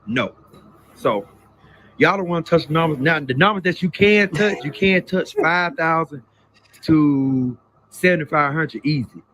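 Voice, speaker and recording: Higher-pitched man giving blunt casual advice. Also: measured pace, pushed and bright, a little hiss or hum, poor audio. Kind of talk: casual informal advice talk.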